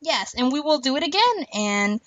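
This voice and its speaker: A woman's voice: high voice, very animated, moderate pace, fairly bright tone, dry recording. A woman hosting a casual podcast discussion, chatty and giggly as she rambles through her opinions. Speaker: podcast host. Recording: dull lo-fi podcast audio.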